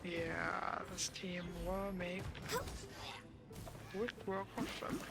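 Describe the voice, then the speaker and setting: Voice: medium pitch, drawn-out words, vocal fry. A game streamer giving casual commentary, muttering questions and short reactions to themselves while playing. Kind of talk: casual gameplay commentary, thinking aloud. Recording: game music behind the voice. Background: video game music.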